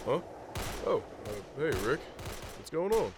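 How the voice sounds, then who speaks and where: A man's voice: fairly high pitch, sing-song, unhurried, noisy background. A man playing a confident co-leader and shooting instructor of a band of survivors in a comic dub. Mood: casual. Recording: faint ambience and gunfire behind.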